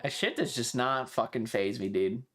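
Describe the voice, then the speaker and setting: A man chatting casually on a livestream, rambling and halting, swearing freely as he talks. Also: medium pitch, a bit bright, soft background music. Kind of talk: casual rambling stream chat.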